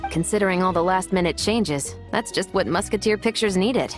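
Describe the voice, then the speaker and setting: A woman playing an earnest, composed young detective in an anime game, measured and friendly. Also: mid-range voice, over music, close to an everyday voice.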